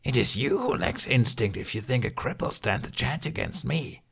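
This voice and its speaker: A man performs an ageing dragoness from a fantasy audiobook, territorial and haughty. Her guttural voice creaks and rasps, swinging widely in pitch as she delivers formal, menacing lines. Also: animated, moderate pace, a bit bright, exaggerated voice. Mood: menacing, scornful. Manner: archaic, threatening phrasing.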